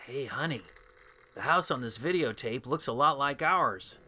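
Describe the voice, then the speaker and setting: A man's voice: medium pitch, expressive, normal speed, sharp tone. A man playing a mild, naive, chatty husband in a comedic audio drama. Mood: puzzled, curious. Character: mild, oblivious husband, easily pleased, henpecked.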